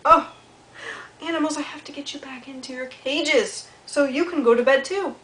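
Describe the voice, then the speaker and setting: Woman putting on a sleepy zookeeper's wife, gently fussing, storybook voice, echoey room. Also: high-pitched, moderate pace, pitched above the performer's own voice. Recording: echoey room with faint background noise.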